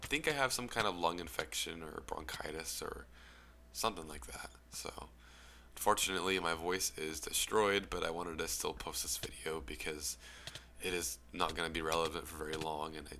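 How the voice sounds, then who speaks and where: A young man in earnest, reflective commentary whose phrases trail off into breathy near-whispers. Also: deep voice, moderate pace, bright, forceful tone. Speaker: YouTube commentator. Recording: music playing under the voice.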